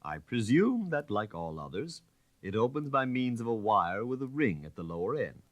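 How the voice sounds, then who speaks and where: A man voicing a suave, self-assured gentleman detective in an audiobook story, calm, precise and dogmatic. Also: mid-range voice, moderate pace, no echo, highs cut off, voice pushed up, natural voice. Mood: assured, explanatory.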